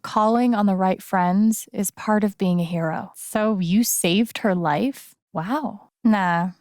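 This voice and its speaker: An actress playing a kind, playful young woman in a video game, speaking in a casual, gentle, naturalistic way. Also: medium pitch, slow pace, dry recording, studio quality, close to an everyday voice. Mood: casual, upbeat. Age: young adult.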